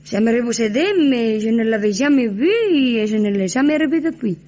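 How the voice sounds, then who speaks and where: Actress playing a fiery, theatrical cabaret diva. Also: female voice, fairly high pitch, dark tone, close to an everyday voice. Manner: flamboyant, dramatic, quick to anger. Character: fiery, theatrical Argentine cabaret singer, female. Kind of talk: 1950s radio drama acting. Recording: dull old radio broadcast.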